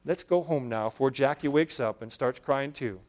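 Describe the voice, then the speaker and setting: A man's voice: mid-range voice, moderate pace, darkish tone, natural voice. A man voicing a poor, worn-out young mother who speaks with rural grammar and a strained voice. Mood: tender.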